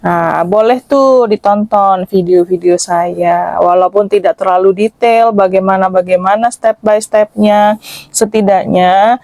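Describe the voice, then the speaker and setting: A woman with a slightly shrill voice, chatting casually and modestly to her viewers in a voice-over as a makeup artist. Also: steady tone, unhurried, darkish tone, dry recording. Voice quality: shrill, thin. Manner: self-deprecating, chatty, addresses viewers as friends. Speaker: makeup artist and channel host. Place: dubbed voice-over, dry close recording.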